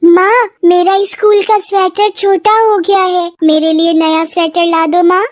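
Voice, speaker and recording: A woman's voice: very high voice, flat delivery, measured pace, no room sound, clean studio sound, pitched above the performer's own voice. A woman voicing a small child who pleads in a whiny way, in a cartoon moral story. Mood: pleading. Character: small child asking mother for new clothes.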